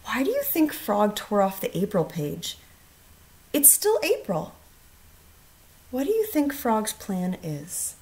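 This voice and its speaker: Animated woman teacher reading aloud, unhurried. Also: medium pitch. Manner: expressive, instructional, questioning. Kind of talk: storybook read-aloud with questions to young listeners.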